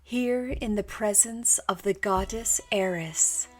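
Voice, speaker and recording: A woman narrating a scripted guided meditation in a hushed, deliberate manner with long pauses. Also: medium pitch, soft background music, rough recording.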